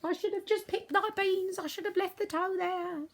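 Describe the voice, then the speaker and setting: A frightened storybook woman frets in a high, thin voice, pitched well above the reader's own, as a woman puts her on. She sounds anxious and full of regret. The lines are read aloud from a children's picture book. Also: steady tone, moderate pace. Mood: fretful.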